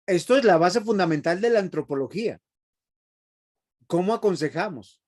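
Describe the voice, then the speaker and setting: A man's voice: sits high, lively intonation, normal speed, a little mellow. A man teaching a Bible seminary class in a preachy way, often asking the students questions.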